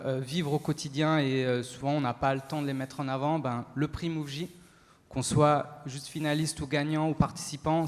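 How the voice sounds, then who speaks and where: A man giving an entrepreneur's testimony in an on-stage interview, speaking haltingly with frequent pauses. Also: mid-range voice, darkish tone. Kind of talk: spoken testimony at a business talk event. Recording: echoey hall, long reverb.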